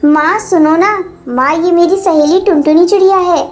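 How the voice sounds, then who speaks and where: Kind young girl bird for kids' cartoon, woman performer: high and childlike, encouraging, light music bed. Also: normal speed, a little mellow, muffled recording. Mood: cheerful. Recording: music under the voice.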